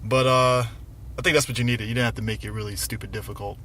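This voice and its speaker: A man giving a casual, rambling film review, halting often as he gathers his thoughts and swearing mildly. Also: medium pitch, fairly even intonation, a bit bright. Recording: noisy outdoor recording with traffic.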